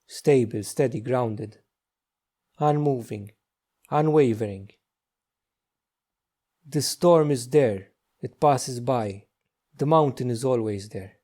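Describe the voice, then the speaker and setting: Mellow, unhurried man guiding meditation. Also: mid-range voice. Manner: slow, hushed, gentle delivery with long pauses. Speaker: meditation teacher. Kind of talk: guided meditation.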